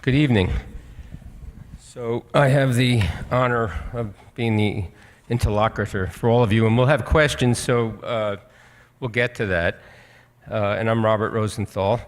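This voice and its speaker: An older American man moderates a live stage interview, speaking earnestly in a halting, rambling way. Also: medium pitch, steady tone. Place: auditorium stage. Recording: hall reverb on a stage.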